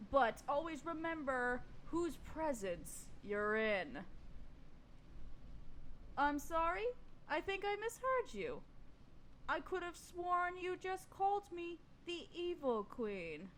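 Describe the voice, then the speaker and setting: A woman's voice: high-pitched, fairly bright tone, slight background noise, exaggerated voice. A woman playing an imperious evil queen and sorceress in a fantasy audio roleplay, speaking formally with pompous, condescending menace. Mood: haughty, then icily offended. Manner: formal, pompous, condescending, slow deliberate delivery. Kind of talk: scripted fantasy audio roleplay addressed to listener.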